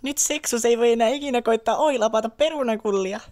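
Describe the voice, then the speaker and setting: Woman playing a higher-pitched wistful forest fairy. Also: darkish tone, lightly acted. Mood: theatrical. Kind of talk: amateur comedy fandub. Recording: music under the voice.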